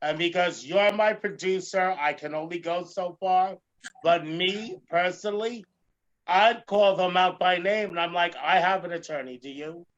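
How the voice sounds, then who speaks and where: A man hosting a talk show as a comedian and drag performer, ranting emphatically in a theatrical way with a gravelly edge and repeating phrases for effect. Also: sits high, moderate pace, highs cut off. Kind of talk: animated talk show rant.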